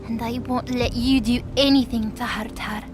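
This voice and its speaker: A woman's voice: on the high side, fairly even intonation, normal speed, music in the background, natural voice. A woman playing an earnest, stubborn winged young girl in an audio drama, impassioned, naive and argumentative. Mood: tearful, resolute. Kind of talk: audio drama character acting.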